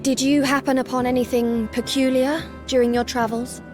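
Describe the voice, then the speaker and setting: Formal, stoic warrior goddess played by a woman, slightly high. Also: flat delivery, music in the background, close to an everyday voice. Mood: solemn, detached. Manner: formal, measured, detached. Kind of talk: video game cutscene acting.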